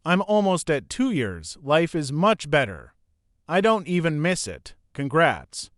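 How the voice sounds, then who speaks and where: A man reading forum posts and replies aloud in a steady, even narration. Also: on the high side, a little slow, no echo, studio quality. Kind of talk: scripted narration.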